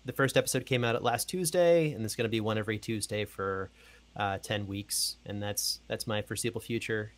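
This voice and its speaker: A man's voice: lowish voice, brisk. A man in his thirties chatting casually on a video call, telling people about his work. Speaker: audio drama podcast producer, guest. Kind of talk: casual promotional chat.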